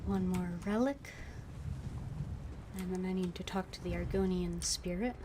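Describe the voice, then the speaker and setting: Halting, casual woman streaming a game. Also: fairly low pitch, noisy background. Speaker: gaming streamer. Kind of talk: casual live game stream commentary, in-character asides.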